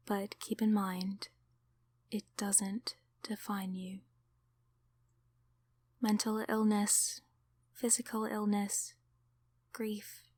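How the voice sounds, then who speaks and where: A woman voicing a kindly sleep paralysis demon in an ASMR roleplay, encouraging and affectionate in a soft, soothing, often whispered delivery. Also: mid-range voice.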